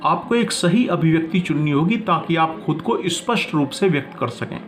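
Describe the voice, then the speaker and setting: A man teaching in a deliberate, didactic way, narrating a prepared lesson and explaining it with emphasis. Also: fairly high pitch, animated.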